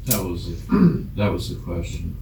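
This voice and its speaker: An American man questioning a council at a public meeting, haltingly rephrasing his point. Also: sits low, big pitch swings. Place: indoor council chamber. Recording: echoey room, distant mic, clear background noise.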